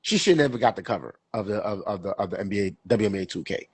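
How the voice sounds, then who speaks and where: A man's voice: on the low side, expressive, fast talker, darkish tone, husky, no room sound. A young man hosting a podcast, chatting casually about sports, stuffy with a cold and rambling with many fillers and repeats. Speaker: podcast co-host.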